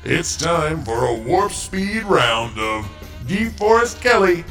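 A man's voice: on the high side, sing-song, takes it slowly, a bit bright, recorded from a distance, faint music behind. A man hosting a mock game show with scripted comic narration, in a theatrical, exaggerated announcer style.

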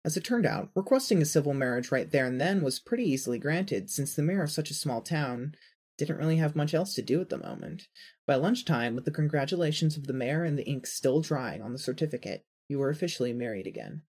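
A man's voice: a little high, expressive, brisk, a little mellow, husky. A man reading scripted fan fiction narration as the storyteller.

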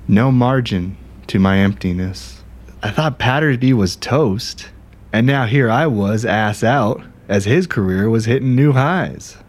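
An actor playing an aggrieved, self-dramatizing ghostwriter in a conversational, wry, indignant audio drama monologue. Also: male voice, mid-range voice, natural voice. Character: aggrieved, self-dramatizing male ghostwriter telling his grievances. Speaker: narrator and protagonist.

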